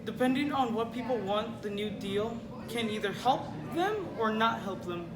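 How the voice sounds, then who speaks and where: A woman giving scripted news commentary, halting and repeating phrases. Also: on the high side, lively intonation, background noise. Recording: echoey room with crowd chatter.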